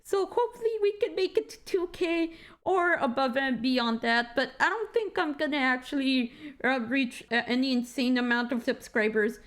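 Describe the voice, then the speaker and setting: A woman with a squeaky voice giving a casual, rambling game walkthrough at her computer, tired and correcting herself as she goes. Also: normal speed, a bit bright. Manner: rambling, self-correcting, joking asides. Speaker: gaming channel host. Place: indoor room at a computer.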